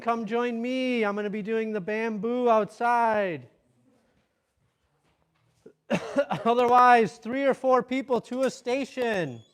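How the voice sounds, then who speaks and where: A British man teaching a kung fu class, calling out energetic instructions to the group. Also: very high-pitched, smooth, no room sound. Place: training ground at a mountain retreat.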